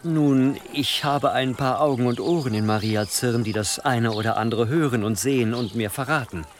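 A man's voice: medium pitch, normal speed, slight background noise, natural voice. An actor playing a ruthless, scheming churchman who speaks with formal, calculating deference in a German historical radio drama. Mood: smug, deferential. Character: scheming, obsequious, ruthless churchman advising his bishop.

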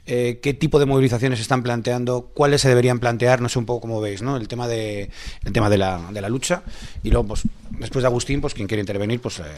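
A man with a Spain Spanish accent and a medium-pitched voice, talking quickly. He moderates a public debate in an informal way, laying out questions and handing over the microphone. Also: fairly even intonation, darkish tone.

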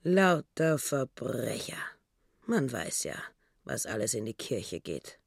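A man's voice: medium pitch, very animated, slow, gravelly, no echo, studio quality, heavily acted. A man acting in a radio drama, voicing a pious woman's simple, indignant thoughts in an emphatic, naive, moralizing way. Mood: hushed disdain.

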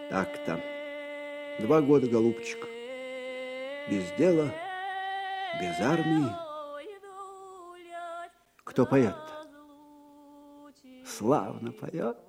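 An actor playing an old, disgraced field marshal, proud and wistful, in a folksy, musing manner for a radio drama. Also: male voice, medium pitch, sing-song, drawn-out words, creaky, pauses often, over music, heavily acted. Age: older. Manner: folksy, musing, swinging from murmurs to ringing declamation. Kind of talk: Soviet radio drama acting.

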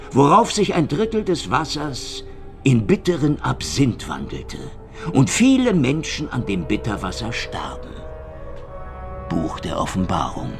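A streetwise Soviet investigator in a hardboiled radio play. His low voice crackles with gravel as he needles and swaggers with cynical insistence. Music plays clearly behind him. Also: heavily acted. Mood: probing, sardonic. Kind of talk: German radio crime drama acting.

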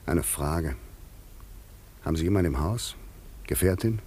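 A deep-voiced male stranger from the sea in a radio drama. He is insistent and eloquent, with a formal, poetic and theatrical way of putting things. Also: measured pace, darkish tone, slight background noise. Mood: insistent, wry, courteous. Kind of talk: German radio play acting.

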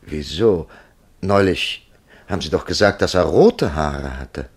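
Casting: pensive author-narrator for radio play, very low register, slow deliberate delivery. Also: male voice, animated, husky, exaggerated voice. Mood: amused, probing. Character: thoughtful male writer narrating his own puzzling encounter. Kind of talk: German radio drama acting.

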